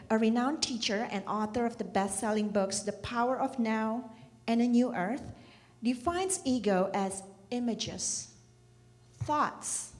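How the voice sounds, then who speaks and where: Slow, gentle Indonesian-born woman preaching. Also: a little high. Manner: slow, gentle, asks the listeners questions. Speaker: children's program director giving a church message. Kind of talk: reflective sermon talk. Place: church hall. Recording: reverberant church hall.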